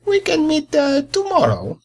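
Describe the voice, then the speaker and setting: A man voicing a boastful, sly, greedy big bad wolf in a storybook tale, raspy and theatrical. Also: very high-pitched, wildly swooping pitch, measured pace, voice pushed up, exaggerated voice. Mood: falsely sweet. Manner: scheming, theatrical.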